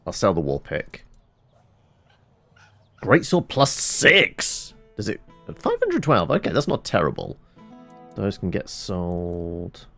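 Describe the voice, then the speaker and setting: A man's voice: deep voice, huge pitch swings, takes it slowly, gravelly, pauses often, sharp tone. A man hosting a let's play, who rambles and corrects himself as he mutters through his inventory. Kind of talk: casual gameplay commentary. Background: game music.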